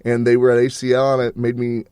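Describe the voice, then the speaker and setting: A man's voice: mid-range voice, fast, smooth, dry recording. Young male college radio co-host, loose and chatty, mid-range voice, dry booth sound. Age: young adult. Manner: rambling, relaxed storytelling with fillers. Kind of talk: casual chat.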